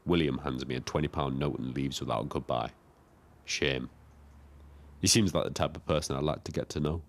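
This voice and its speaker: A lonely British cab driver narrates in a very low voice. He is measured and observant, with a brooding air suited to scripted audio drama. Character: lonely, watchful male Brighton taxi driver. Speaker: narrator and lead character.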